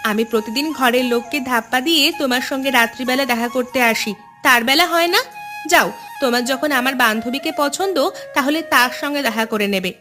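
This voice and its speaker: High-voiced pouty young village sweetheart played by a woman. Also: fast talker, sharp tone, faint music behind. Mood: pouty, jealous. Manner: pouty, reproachful. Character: touchy, coquettish young village girlfriend. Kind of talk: Bengali cartoon dialogue.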